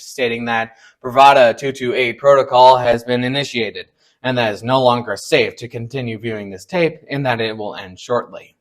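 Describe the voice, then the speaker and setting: A man's voice: medium pitch, rough recording. A man narrating a scripted explainer, with informal, playful asides to the viewers. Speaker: video essay narrator.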